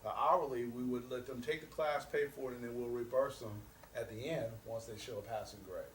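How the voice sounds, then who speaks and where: A man's voice: mid-range voice, fairly even intonation, brisk. A man being interviewed as a company representative, explaining things earnestly and promotionally and repeating his key points. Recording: roomy echo, distant mic, faint noise.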